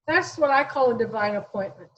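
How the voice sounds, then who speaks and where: A woman's voice: on the high side, expressive, fairly quick, a bit bright, lo-fi sound. An American woman in her sixties talking conversationally as an interview guest, telling her own story. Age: 60s.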